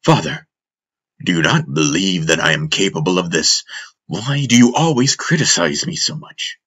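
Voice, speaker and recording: A man's voice: deep voice, lively intonation, normal speed, fairly bright tone, slightly rough, full character voice. A man voicing a hurt, proud and defensive young son who speaks in an aggrieved, challenging way in a fantasy audiobook. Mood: hurt defiance.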